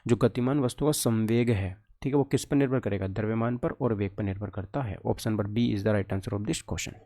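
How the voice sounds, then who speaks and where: A man's voice: mid-range voice, mellow. A man teaching an exam lesson conversationally, often asking questions and answering them himself. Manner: conversational, asks and answers his own questions. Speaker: physics teacher, exam coach.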